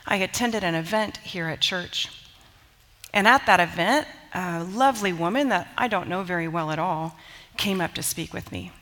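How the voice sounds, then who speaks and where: Bright-voiced woman teaching a church study. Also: lowish voice, in a large hall, poor audio. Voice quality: slightly raspy from allergies. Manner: warm, conversational, with rhetorical questions to the group. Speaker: women's Bible study teacher. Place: church hall.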